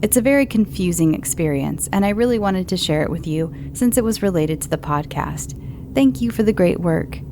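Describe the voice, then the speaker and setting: A woman with a low, gravelly voice reads listener horror stories in a calm, unhurried way. Faint noise sits under her voice. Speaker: podcast host.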